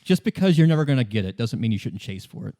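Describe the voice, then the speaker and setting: A man hosting as game master, narrating a story in a halting, conversational way and repeating words. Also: mid-range voice, very animated, dark tone. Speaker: game master and podcast host. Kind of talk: casual improvised narration of a tabletop-style story.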